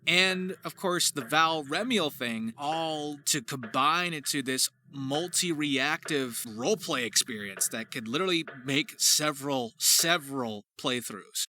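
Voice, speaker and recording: Forceful, bright-voiced man, effusive and wordy. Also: a little high, unhurried, hardly any pauses, slight background noise. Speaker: voice actor and writer discussing his work. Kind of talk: casual question-and-answer behind-the-scenes commentary.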